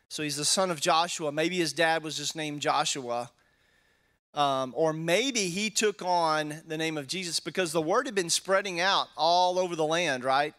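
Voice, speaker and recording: Texan pastor teaching casually, higher voice. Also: male voice, fairly bright tone. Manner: casual, tags statements with "right?", thinks aloud with fillers. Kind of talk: conversational Bible teaching. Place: wood-paneled church hall, indoors. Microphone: handheld microphone.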